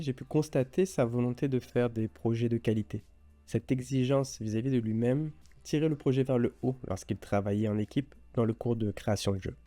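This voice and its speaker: Man, college teacher, very mellow dark timbre, formal measured testimonial, faint music behind. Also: medium pitch. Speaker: college teacher giving a reference.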